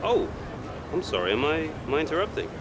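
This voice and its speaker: A smug young man, a racing driver in a game cutscene. His delivery is very animated, dripping with sarcasm and goading. Clear ambient noise sits behind his voice. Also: on the high side, fast talker. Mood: mocking, goading. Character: cocky, needling young male rival racing driver. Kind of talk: dramatic video game cutscene acting.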